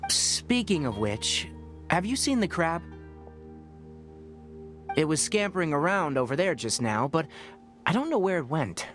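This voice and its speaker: An actor playing a calm, principled young forest ranger and scholar who speaks in a measured, gently lecturing way in a video game. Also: male voice, a little high, soft background music. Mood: explaining.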